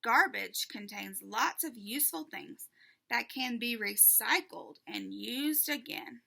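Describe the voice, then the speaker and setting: Storybook schoolteacher, a man played by a female reader: patient, instructive, bright and lively in pitch. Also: slow pace, vocal fry, full character voice. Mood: explaining, enthusiastic. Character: male schoolteacher, patient, keen explainer of recycling.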